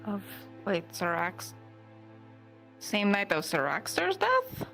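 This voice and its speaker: A woman's voice: mid-range voice, huge pitch swings, slow, creaky, fairly bright tone, faint music behind, heavily acted. A woman playing an earnest, naive young woman in improvised fantasy roleplay, talking hesitantly and informally, often trailing off. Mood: surprised, puzzled.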